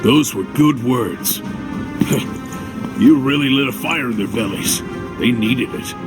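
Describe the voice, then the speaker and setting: An actor playing a wry, laid-back comrade-in-arms with a gravelly, theatrical drawl in a game cutscene, over music. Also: male voice, medium pitch, slow, close to an everyday voice. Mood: approving. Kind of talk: video game cutscene acting. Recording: loud music behind.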